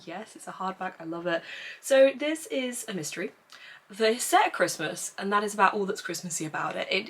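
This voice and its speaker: A young woman with a hoarse, croaky voice from losing it, chatting casually through a book review. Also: medium pitch, a bit bright. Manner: chatty, self-deprecating, laughs often. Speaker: book vlogger.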